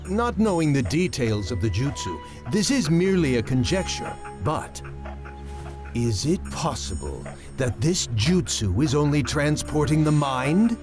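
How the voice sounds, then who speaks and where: Lively, brainy young ninja. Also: male voice, a little high. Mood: intrigued, analytical. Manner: formal, articulate, deliberate reasoning. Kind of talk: anime video game cutscene acting. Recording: music under the dialogue.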